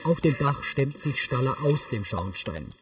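A man's voice: medium pitch, animated, measured pace, distant mic, loud music behind the voice. A man giving neutral, sober scripted audio description for a TV drama. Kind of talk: scripted narration, present tense.

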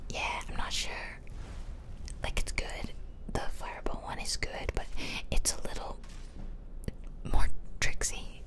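Female ASMR gamer. Soft whisper throughout, slow delivery, casual and rambling, right up on the mic. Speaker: gaming ASMR creator. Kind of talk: whispered ASMR gameplay commentary. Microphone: close mic for ear-to-ear whispering.

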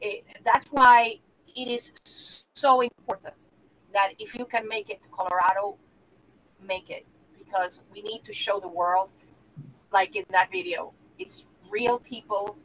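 Bright-voiced, passionate woman on a video call. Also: medium pitch, unhurried, a little hiss or hum, poor audio. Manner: passionate, emphatic. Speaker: podcast co-host. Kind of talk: casual video-call chat. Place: small indoor room.

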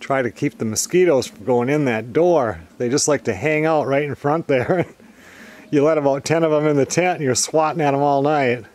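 A middle-aged man narrating a casual outdoor vlog at a lakeside camp in the woods, talking in a relaxed, matter-of-fact way. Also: mid-range voice, normal speed. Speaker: vlogger.

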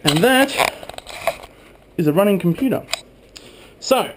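A man's voice: high voice, lively intonation, takes it slowly. A man in his twenties giving a casual, chatty hands-on narration as a video blogger, recorded on a handheld camera in a small room at home. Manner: informal, chatty. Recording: faint background noise.

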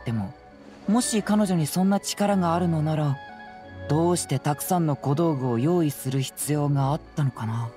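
Guy doing a youthful anime detective, calm n analytical, explains everything confidently, bit of music behind. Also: a little high, lightly acted. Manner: measured, explanatory, self-assured. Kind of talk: anime game voice acting. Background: faint background music.

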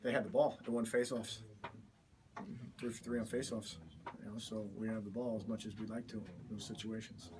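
A man in his fifties, a head coach giving a postgame interview in a small office, talking haltingly with many fillers and restarts. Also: on the low side, little pitch movement. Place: small cluttered office, indoors. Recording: distant mic with background noise.

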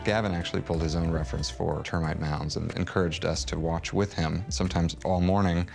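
A man's voice: sits low, steady tone, a little fast, gravelly, darkish tone. A man working on a film's design, speaking in a behind-the-scenes interview. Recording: music playing behind the voice.

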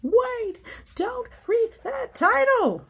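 A woman's voice: very high-pitched, sing-song, very slow, pitched above the performer's own voice, full character voice. A woman voicing an anxious, whiny young cartoon pigeon from a storybook, stretching words out for emphasis. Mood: alarmed. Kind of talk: storybook read-aloud character voice.

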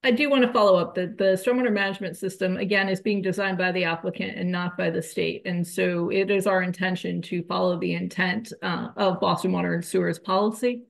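A woman giving a polite, formal comment as a project representative on a video call, in measured wording. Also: fairly low pitch, steady tone, vocal fry. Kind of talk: formal comment at a public meeting.

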